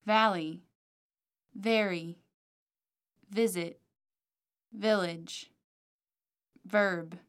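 A woman's voice: mid-range voice, steady tone, very slow, long pauses. A woman reading model dialogues and repeated words for a language course, articulating each one carefully. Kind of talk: scripted model dialogues and drills.

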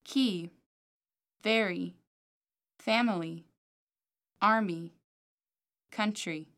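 A woman with a mid-range voice reads answers and repeats words in an English course. She speaks slowly and clearly, at a steady pace suited to learners. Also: medium pitch. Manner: slow, carefully articulated model speech. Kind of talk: scripted model dialogues and drills.

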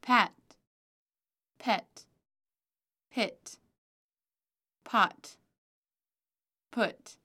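A woman with a mid-range voice reads answers and repeats words in an English course. She speaks slowly and clearly, at a steady pace suited to learners. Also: medium pitch. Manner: slow, carefully articulated model speech. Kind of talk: scripted model dialogues and drills.

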